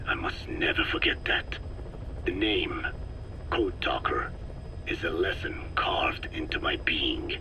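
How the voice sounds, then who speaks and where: Ancient navajo scientist elder in a game, super low whispery voice, slow and solemn, regretful, noisy rumble behind. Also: male voice, expressive, full character voice. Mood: mournful, grave. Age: older. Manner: slow, solemn, measured, formal. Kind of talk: dramatic game dialogue, reflective monologue.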